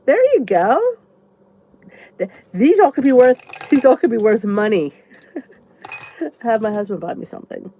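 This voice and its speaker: A woman's voice: fairly high pitch, big pitch swings, unhurried, pauses often, mellow. A congested woman vlogger with a stuffy nose, rambling chattily as she answers viewer comments in a casual live chat. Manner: chatty and rambling, thinks aloud.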